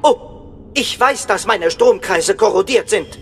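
An actor playing a fussy, polite, indignant protocol droid in an audio drama, speaking formally. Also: male voice, high-pitched, animated, unhurried, in a large hall, over loud music.

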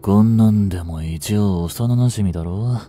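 A man playing a blunt, languid young delinquent and protective childhood friend, drawling gruffly and teasingly in an intimate audio drama. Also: fairly low pitch, measured pace, mellow, faint music behind, natural voice. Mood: casual, teasing.

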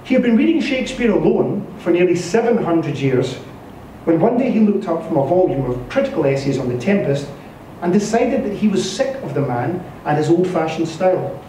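A man reads literary fiction aloud like a stage storyteller, with an expressive, dramatic delivery. His voice sits fairly high and moves through lively swings of pitch, with a soft, mellow tone. It is a live reading in a bare indoor space. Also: brisk. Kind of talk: scripted literary narration read aloud. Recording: echoey room from a distance, faint noise.

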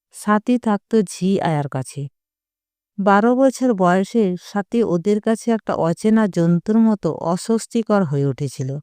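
A woman reading a literary short story as a scripted narration, in a measured, deliberate storytelling manner. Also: mid-range voice, dark tone, clean tone, no room sound.

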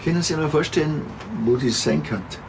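An actor playing a dry, unbothered small-town policeman with a Bavarian accent, colloquial and deadpan. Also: a little high, a little fast, creaky, slight background noise, low-quality recording. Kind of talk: TV crime comedy acting.

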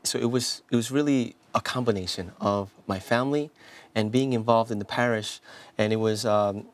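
A man in his thirties from South Korea speaks as a priest guest in a television studio. His voice sits slightly low, and he talks earnestly, often restarting phrases and filling pauses with "you know." A lapel mic picks him up clean and close. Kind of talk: interview, telling his life story.

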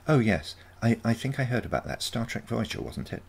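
Man as a genteel granny, haughty, sarcastic, creaky, animated intonation. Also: mid-range voice, fairly quick, voice pushed up, exaggerated voice. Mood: curious.

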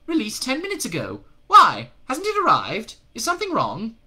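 A man doing Dagon, a shrill booming demon lord. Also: wildly swooping pitch, normal speed, pushed and bright, breathy edge, heavily acted. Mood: pompous. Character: sinister male demon lord on the radio.

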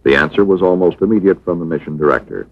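A man with a very low voice narrates a documentary from a script. His delivery is measured and matter-of-fact. The sound is thin and boxy, like an old 1960s film reel. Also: phone-quality sound.

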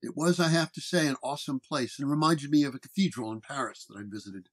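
A man's voice: mid-range voice, fast talker. A man reading a scripted first-person detective story as its narrator. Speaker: narrator and reader.

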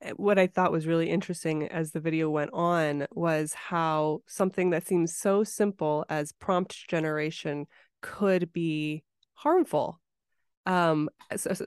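A woman with a very low, clear and smooth voice hosts a relaxed conversational interview. Her recording is dry, with hardly any room sound. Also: no echo. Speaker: podcast host, interviewer.

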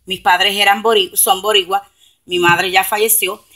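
A woman's voice: medium pitch, fairly even intonation, moderate pace, pushed and bright. A woman from New Jersey, chatting casually through a grocery haul and explaining prices step by step, recorded on a handheld phone at home. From Camden, New Jersey, USA. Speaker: couponing vlogger. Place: home, beside a shelf of groceries, indoors. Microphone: handheld phone camera.

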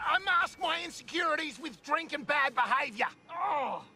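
An actor playing a cowardly, boozy, mouthy criminal in a video game, with an Australian accent and a brash, slangy, wheedling manner. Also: male voice, very high voice, lively intonation, normal speed, creaky, a crowd in the background, full character voice. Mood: nervous pleading. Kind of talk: video game cutscene acting. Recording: music behind the voice.

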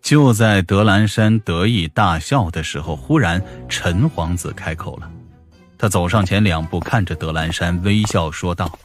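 A man's voice: deep voice, measured pace. A man narrating a scripted audiobook story. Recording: music behind the voice.

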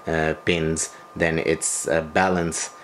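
A man presenting a hands-on equipment tutorial, speaking off the cuff in halting, broken phrases with frequent hesitations. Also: a bass voice, little pitch movement, a bit bright. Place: small bedroom, indoors. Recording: home video with noticeable background noise.

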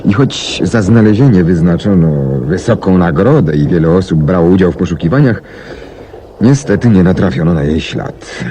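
An actor playing a methodical, self-assured English detective in a 1970s Polish radio play, telling things in a measured, deliberate way with emphatic swings. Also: male voice, low voice. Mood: grave, deliberate. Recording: noisy old 1970s radio recording.

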